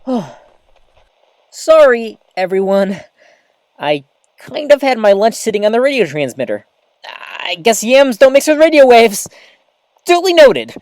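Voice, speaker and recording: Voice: medium pitch, unhurried, pauses often. A performer playing an earnest, eager novice host of a small-town community radio show, chatty and folksy, talking straight to the listeners in an audio drama. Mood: earnest, flustered. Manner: folksy, chatty, expressive, addresses listeners directly. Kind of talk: scripted audio drama as local radio broadcast.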